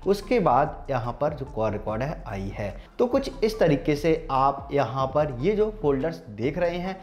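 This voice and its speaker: Higher-pitched Indian man explaining steps. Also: sing-song, measured pace, highs cut off. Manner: instructional, step-by-step, addressing viewers formally. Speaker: tech channel host. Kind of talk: scripted-sounding phone tutorial narration.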